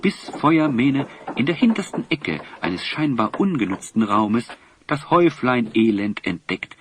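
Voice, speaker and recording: A man's voice: mid-range voice, big pitch swings, a little slow, a little hiss or hum. A man narrating a fantasy audio play in a dramatic, scripted storytelling style.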